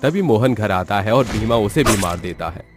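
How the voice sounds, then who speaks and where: Male storyteller for ghost cartoons: fairly low voice, scripted delivery, background music. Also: animated, normal speed. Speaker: story narrator. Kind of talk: scripted horror cartoon narration.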